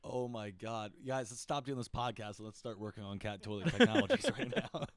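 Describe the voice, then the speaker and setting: A man's voice: fairly low pitch, animated, brisk, no room sound. Laid-back young man hosting, dry sound. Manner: laid-back, swears often, says "like" a lot. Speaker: podcast host. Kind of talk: casual chat.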